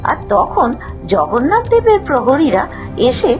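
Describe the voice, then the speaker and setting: Animated high-pitched woman storyteller. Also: a little slow, over music. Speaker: narrator. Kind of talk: scripted children's moral story narration.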